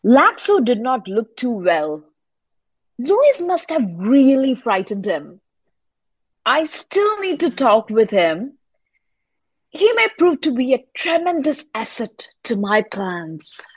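Woman acting scheming doctor, composed, theatrical, high-pitched, dry close sound, audio drama. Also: sing-song, slow, slightly rough, no room sound, heavily acted, low-quality recording. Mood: composed, calculating. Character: composed, scheming female doctor with big plans. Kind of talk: amateur audio drama dialogue.

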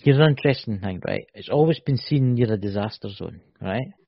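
A man co-hosting a casual podcast, speculating in an animated way and often tagging "right?" onto his points. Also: mid-range voice, a little fast, creaky, slightly rough, dry recording. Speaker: podcast co-host. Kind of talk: casual chat. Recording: dull lo-fi, dry.

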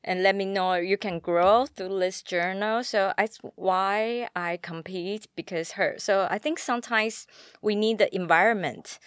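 Female guest, non-native English, earnest and plain-spoken, mid-pitch, dry mic. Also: a little slow, clear, resonant tone, dry recording. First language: not English. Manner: earnest, simple phrasing. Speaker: podcast guest. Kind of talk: casual chat.

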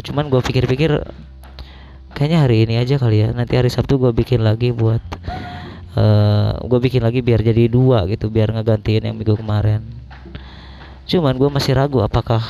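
A young man with a slightly hoarse voice, hosting a podcast alone in casual, colloquial, rambling talk as he thinks aloud. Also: lowish voice, darkish tone. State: slightly hoarse after illness. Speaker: podcast host.

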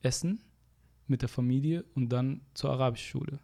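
A young man talks casually as a guest in a conversation. He tells stories in a lively way, full of slang fillers, and his voice climbs and grows loud when he is indignant. His voice sits at an ordinary middle pitch. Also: normal speed, creaky. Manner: animated storytelling, rising loud when indignant.

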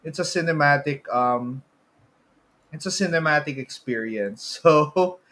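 Higher-voiced man chatting casually. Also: expressive, a little slow, fairly bright tone. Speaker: podcast co-host. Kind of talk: casual chat about movies and games.

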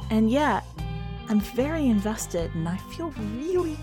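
Expressive woman reading stories on a podcast. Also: fairly high pitch, unhurried, music in the background. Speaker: podcast host and editor reading a short story. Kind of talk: dramatic story narration and host commentary.

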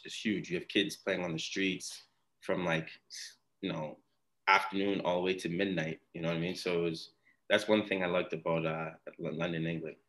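Deep-voiced young guy from ghana, laid back, rambling with lots of you knows and restarts. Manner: relaxed, rambling with many fillers and restarts. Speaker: podcast guest, former soccer player. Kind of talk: casual interview chat.

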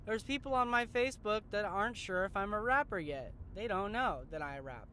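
A man's voice: sits high, lively intonation, brisk, sharp tone. A young man hosting a casual podcast from a moving car, rambling and joking with plenty of swearing. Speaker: podcast host. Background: faint road noise.

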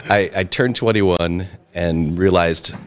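A middle-aged man with a remarkably deep, low voice. He tells long stories in a relaxed, chatty way, often joking at his own expense. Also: slow, clear, resonant tone. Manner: chatty, self-deprecating humor, frequent restarts. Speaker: interview guest, restaurant and brewery owner. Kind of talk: casual storytelling interview.

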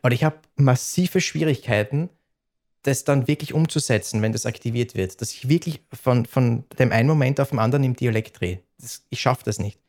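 A man's voice: mid-range voice, moderate pace, darkish tone. A man speaking soberly and at length, with a dialect and frequent restarts. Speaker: streamer. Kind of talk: conversation.

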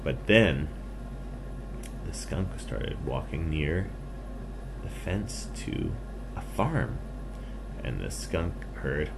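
Guy telling little kids a bedtime story, slow, creaky, simple playful wording, noisy recording. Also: extremely low pitch, lively intonation. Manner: simple, repetitive, playful phrasing. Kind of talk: improvised bedtime story narration for children.

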